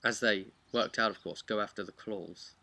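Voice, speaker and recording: Casting: man as chatty game master, bright punchy delivery, unscripted and emphatic, lightly noisy recording. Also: fairly low pitch, fairly even intonation, normal speed. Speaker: game master recapping a session. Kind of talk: casual unscripted storytelling vlog.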